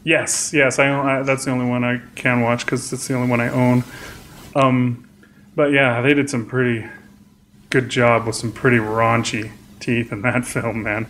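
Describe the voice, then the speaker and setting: A man co-hosting a podcast from home, talking in a loose, rambling, easygoing way with frequent laughs. His voice sits in a middle pitch. A faint hiss runs under the recording. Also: level tone, moderate pace, a bit bright. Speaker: podcast co-host. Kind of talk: casual chat. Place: home room with plate on wall.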